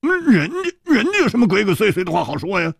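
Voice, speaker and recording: A man voicing a brash, hot-tempered young male swordsman who stammers and whines excitedly. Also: high-pitched, fast, creaky, breathy edge, no echo, studio quality, voice pushed up, full character voice. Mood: agitated.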